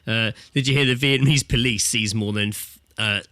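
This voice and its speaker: A man in his thirties with a bright, forward voice and a very dry sound. He talks like a podcast co-host trading blokey, sweary banter, jokey and casual throughout. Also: mid-range voice, steady tone, brisk, dry recording. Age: 30s. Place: remote internet call.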